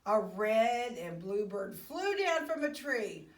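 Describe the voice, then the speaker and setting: Casting: female children's storyteller, expressive and unhurried, picture-book read-aloud, echoey room, off the mic. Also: medium pitch. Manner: expressive, with hushed asides to the young listeners. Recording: roomy, echoey, distant microphone.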